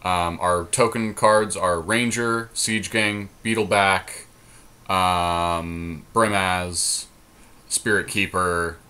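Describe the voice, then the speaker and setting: Low-voiced man thinking aloud, bright tone. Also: fairly even intonation, slow, clear, resonant tone. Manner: thinks aloud with many ums and restarts. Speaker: podcast co-host. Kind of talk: casual deckbuilding chat.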